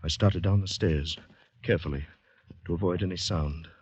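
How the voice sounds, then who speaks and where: A man's voice: deep voice, normal speed, darkish tone, breathy edge. An actor playing a terrified shell-shocked man, narrating his flight in an intense 1940s radio drama style. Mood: tense fear. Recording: muffled vintage 1940s radio recording.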